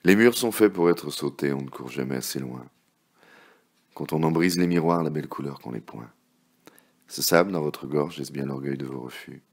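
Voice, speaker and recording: A man with an extremely low, gravelly voice recites love poetry. His delivery is theatrical and impassioned, able to sink into hushed murmurs, and his tone is husky and rough. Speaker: poet reading poems.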